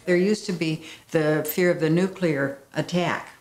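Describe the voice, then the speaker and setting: An older woman answering in a documentary interview, warm and reflective, with some fillers. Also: deep voice, steady tone, measured pace, roomy sound.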